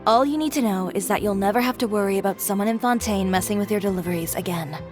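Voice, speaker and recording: Game role: confident young businesswoman and designer, matter-of-fact, mid-pitched actress, dry firm delivery over background music. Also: quick pace, natural voice. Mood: firm, reassuring. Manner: matter-of-fact, self-assured, dryly firm. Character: confident, brisk, no-nonsense young female fashion designer. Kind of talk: video game voice acting.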